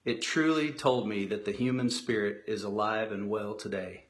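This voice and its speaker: A man's voice: fairly low pitch, steady tone, normal speed. Sincere middle-aged male DJ. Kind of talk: earnest personal story. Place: home office. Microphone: webcam.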